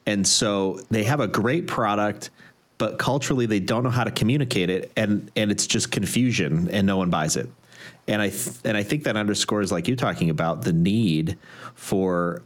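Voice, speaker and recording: A man hosting an interview, speaking in a thoughtful, reflective way. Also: fairly low pitch. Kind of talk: conversational interview.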